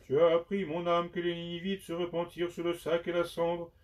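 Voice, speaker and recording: A man giving a slow, solemn liturgical recitation of penitential prayers in measured, prayerful phrases. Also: fairly high pitch, monotone, darkish tone. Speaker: liturgical reader. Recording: dull sound from far off the mic.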